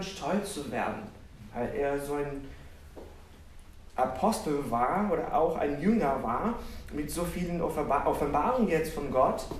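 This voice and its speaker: Animated preacher in an echoey hall. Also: male voice, sits high. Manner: animated, self-deprecating, asks the congregation questions. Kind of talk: sermon with personal storytelling. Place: church hall with congregation. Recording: roomy, distant church recording.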